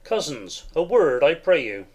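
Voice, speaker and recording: An actor playing a wary, thoughtful noble soldier in a dramatic Shakespeare reading. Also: male voice, fairly high pitch, very animated, unhurried, darkish tone.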